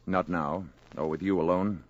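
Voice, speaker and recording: A calm alien visitor in a 1950s radio play. He speaks low, quiet and measured, with a grave, aloof air. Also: muffled recording. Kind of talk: 1950s radio drama acting.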